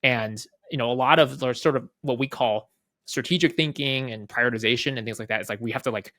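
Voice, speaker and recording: A man speaking as an interview guest, explaining casually in halting phrases with repeated words and frequent checking tags. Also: mid-range voice, pushed and bright, dry recording, studio quality. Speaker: interview guest, head of product at a software company.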